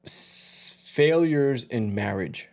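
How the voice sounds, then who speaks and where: A man's voice: medium pitch, animated, drawn-out words, creaky. A man co-hosting a casual podcast, easygoing and joking, often backing up his partner with short agreements. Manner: easygoing, backchannels a lot, jokes around. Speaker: podcast co-host. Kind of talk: casual chat.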